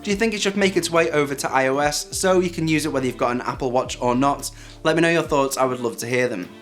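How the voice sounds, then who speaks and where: A man's voice: medium pitch, fast talker, a bit bright. A man hosting a tech video, narrating a scripted hands-on review. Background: background music.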